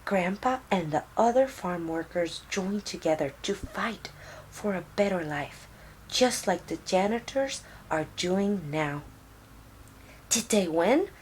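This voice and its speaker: A woman reading a children's storybook aloud in a deliberate, expressive storytelling manner. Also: on the low side, a little hiss or hum, low-quality recording. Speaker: storybook reader.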